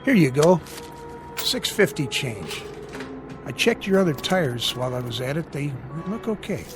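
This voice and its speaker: An actor playing an easygoing, helpful small-town male mechanic in a radio drama, with a folksy, casual, unhurried way of talking. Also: on the high side, big pitch swings, music in the background. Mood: easygoing, friendly.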